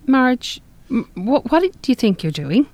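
A woman's voice: a little high, very animated, measured pace, darkish tone, no echo, exaggerated voice. An actress playing a dry, teasing woman at a refuge in a live radio play, with a questioning manner. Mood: concerned.

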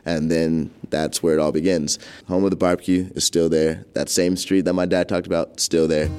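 A man's voice: deep voice, level tone, normal speed, a little mellow, clear, resonant tone. A nineteen-year-old man casually telling a family story, acting out his parents' voices as he goes. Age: young adult. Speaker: community interviewee.

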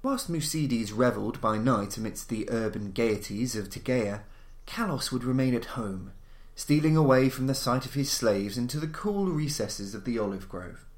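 A man reading gothic horror stories as a podcast narrator, with a formal, measured, dramatic storytelling delivery. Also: medium pitch, animated. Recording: roomy, echoing voice recording.

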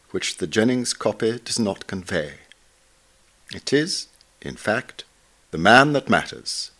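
Male narrator, lower-pitched, for a formal, measured reading of a literary obituary. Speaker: reader, narrator.

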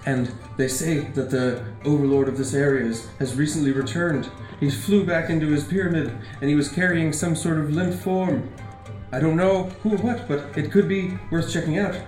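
A man's voice: a little high, fairly even intonation, moderate pace, a little mellow, natural voice. A man voicing a polite, fussy, flustered servant in an improvised comedy radio play, hesitant and deferential with old-fashioned wording. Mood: flustered, earnest. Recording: roomy echo, faint music behind.

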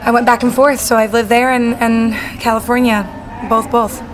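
A woman's voice: fairly high pitch, level tone, moderate pace, noisy background. A young woman singer-songwriter from Salt Lake City chatting casually in an interview, bubbly and full of fillers. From Salt Lake City, Utah.

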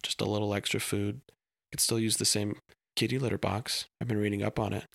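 An earnest, self-assured young girl from a storybook, voiced by a man. She is precocious and articulate. The sound is bright and dry, with some creak in the voice. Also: fairly low pitch, level tone, fairly quick, no echo. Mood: earnest, persuasive. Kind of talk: storybook character voice.

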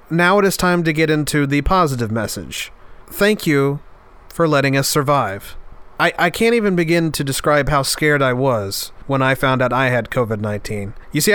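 Nasal, stuffed-up man with a smooth voice. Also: a little high, fairly bright tone. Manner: earnest and heartfelt. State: stuffed up after an illness. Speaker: podcast host. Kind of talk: reflective podcast monologue.

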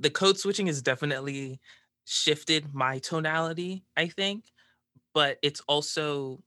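Bright-voiced young man, dry and close. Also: medium pitch, no echo. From southern United States. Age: young adult. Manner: thoughtful, reflective, searching for words. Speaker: student panelist. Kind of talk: casual discussion.

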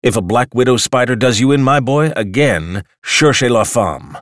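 A man's voice: mid-range voice, animated, moderate pace, a bit bright, dry recording, clean studio sound, voice pushed up, close to an everyday voice. A man voicing a sour, peevish male clubman of about fifty, sardonic and lazy.

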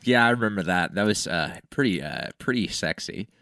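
Low, lively man in loose comic banter. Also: moderate pace, no room sound, studio quality. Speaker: comedian, podcast participant. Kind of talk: casual improvised banter.

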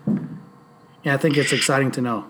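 A man in his late thirties talking casually on a podcast, animated and swearing freely as he tells stories. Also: mid-range voice, moderate pace, noisy background. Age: 30s. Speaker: podcast host.

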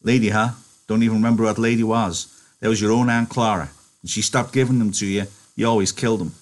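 A man voicing a short-tempered, worn-out ranch hand who scolds gruffly and flares into shouting. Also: fairly low pitch, fairly quick, natural voice. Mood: scolding.

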